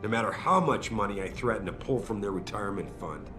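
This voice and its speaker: A blunt corporate boss. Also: male voice, lowish voice, lively intonation, fast talker, faint music behind. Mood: stern. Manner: blunt, clipped orders. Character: powerful corporate boss, middle-aged man, commanding. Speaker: video game cutscene character. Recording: game cutscene with loud score.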